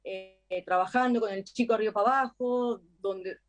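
Woman, Argentine accent, interview guest, talkative, many fillers, slightly high voice. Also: normal speed, soft background music, poor audio. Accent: Argentine Spanish. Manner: chatty, frequent fillers, animated retelling. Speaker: actress guest. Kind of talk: casual interview chat.

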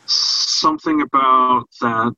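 A man co-hosting a casual podcast discussion about fiction, talking in a halting way with repeated and restarted phrases. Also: mid-range voice, bright, forceful tone. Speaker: podcast co-host. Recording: dull, roomy lo-fi sound.

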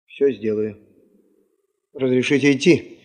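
Casting: male actor for a loyal, plain-spoken prospector, medium pitch, radio play with echoing hall sound. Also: steady tone, a little slow, darkish tone, lightly acted. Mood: eager, devoted. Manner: deferential, plain-spoken, eager. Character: loyal, eager gold prospector, devoted subordinate man. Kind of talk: Soviet radio drama acting.

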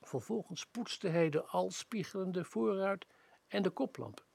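Male narrator for scripted first-person audio fiction, raspy with frequent vocal fry. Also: high-pitched, expressive, measured pace, a little mellow, no echo. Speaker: radio play narrator.

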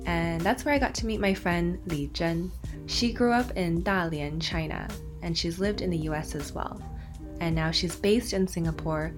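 Low-voiced woman hosting a podcast. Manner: friendly, curious, quick follow-up questions. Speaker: podcast host. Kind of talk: podcast interview.

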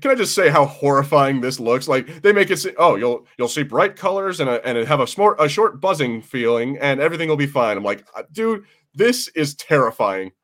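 An American man hosting a podcast in casual chat, animated and sweary, acting out imagined quotes as he goes. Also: medium pitch, brisk. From United States. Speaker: podcast host.

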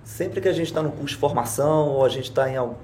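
A man's voice: medium pitch, fast talker, warm tone, echoey room, slight background noise. A man co-hosting a podcast, upbeat as he opens the show and chimes in with short remarks. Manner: upbeat opener, short interjections. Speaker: podcast co-host. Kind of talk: podcast opening and interview chat.

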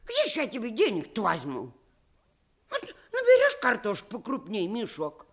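High-voiced, lively old village grandmother. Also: moderate pace, exaggerated voice. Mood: fussing, coaxing. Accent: Russian rural dialect. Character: fond, bustling, coaxing old village grandmother. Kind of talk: radio play acting.

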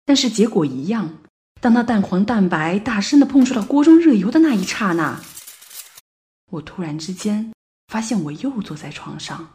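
A woman playing a bewildered Paris resident in a radio play monologue, telling her story deliberately with dramatic swells. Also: medium pitch, very animated, darkish tone, natural voice. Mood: puzzled, growing anxious. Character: woman living in Paris, bewildered, recounting a looping morning.

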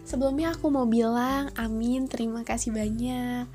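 A woman's voice: fairly high pitch, steady tone, moderate pace, music in the background. A young woman, talking in a friendly, chatty podcast style, her voice nasal and stuffy from a cold. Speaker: podcast host. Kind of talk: casual solo podcast talk.

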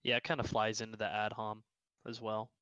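A man joining a casual podcast discussion, talking in a relaxed, hesitant way with many fillers. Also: on the low side, fairly quick, smooth, no room sound, lo-fi sound. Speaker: podcast guest.

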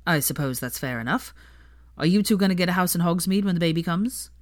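A gentle, awkward young man in audiobook dialogue , as a woman reader puts him on. He talks casually and hesitantly, rueful and a bit blunt, on the lower side in a dry, close sound. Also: expressive, quick pace, no room sound, lightly acted.

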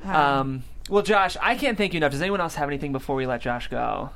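A man's voice: fairly high pitch, lively intonation, quick pace, fairly bright tone. A man hosting a casual panel show, speaking in an effusive, gracious way over a noisy recording. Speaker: show host. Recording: clearly noisy room sound.

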